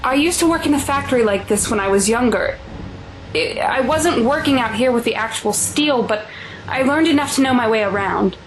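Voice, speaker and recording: A woman's voice: on the high side, lively intonation, normal speed, crowd noise, lightly acted, rough recording. A woman acting in an audio drama as an anguished, guilt-ridden mother, emotional and pleading. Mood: worried, subdued.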